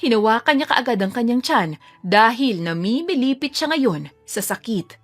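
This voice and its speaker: A woman's voice: fairly high pitch, animated, moderate pace, faint music behind. A woman reading scripted narration for a dramatic audio story.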